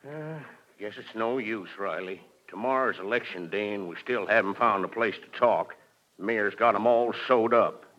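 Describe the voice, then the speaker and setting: An actor playing a meek, glum sidekick with a hesitant, plain-spoken manner in an old-time radio comedy. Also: male voice, on the low side, moderate pace, close to an everyday voice. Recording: muffled vintage radio recording.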